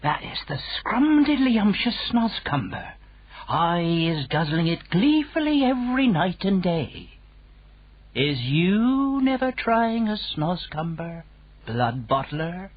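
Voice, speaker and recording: A woman voicing a kindly, timid male giant who coaxes gently in jumbled made-up words. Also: lowish voice, big pitch swings, slow pace, no room sound, highs cut off, pitched below the performer's own voice, full character voice. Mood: coaxing.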